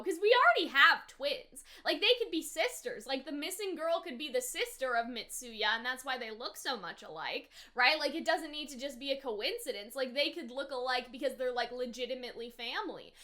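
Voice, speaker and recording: A woman giving casual, unscripted reaction commentary in a chatty, expressive way, often saying "like" and "right?". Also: sits high. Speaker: host of an anime reaction and review.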